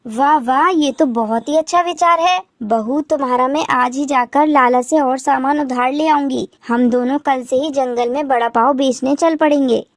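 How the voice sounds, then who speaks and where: A woman voicing a caring elderly mother-in-law bird in a moral-story cartoon, expressive and gently chiding. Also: high voice, moderate pace, hardly any pauses, no room sound, clean studio sound. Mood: delighted.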